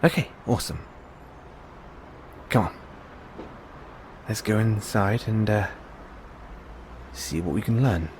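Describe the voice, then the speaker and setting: A man with a British accent acting in an audio roleplay as a kindly, anxious, furtive android owner, speaking haltingly with stammers. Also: fairly low pitch, a little mellow, slight background noise. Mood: quietly determined.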